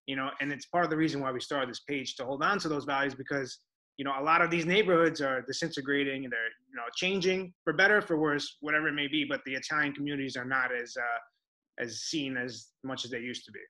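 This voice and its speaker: A man's voice: medium pitch, fast talker, bright, forceful tone, low-quality recording. A man hosting a casual interview, talking conversationally and often saying "you know". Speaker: interview host.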